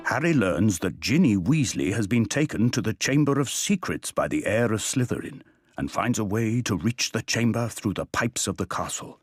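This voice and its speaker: A man's voice: lowish voice, lively intonation, moderate pace, breathy edge. A man narrating a wizard tale for a video game in a scripted storybook style. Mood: measured storytelling. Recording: light music behind the voice.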